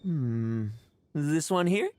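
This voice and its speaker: A woman's voice: low voice, lively intonation, very slow, mellow, slight background noise, full character voice. A woman playing a curious, courteous young character in a video game, musing and easygoing. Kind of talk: scripted video game voice acting.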